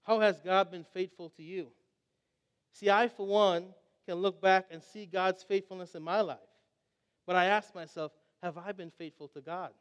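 A man's voice: sits high, steady tone, moderate pace, smooth, long reverb tail. A young adult man from Trinidad and Tobago preaching a sermon, earnest and reflective as he tells stories.

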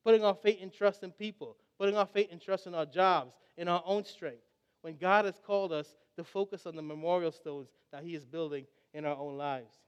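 A man's voice: high-pitched, brisk, clean tone, big-room sound. A young adult man from Trinidad and Tobago preaching a sermon, earnest and reflective as he tells stories.

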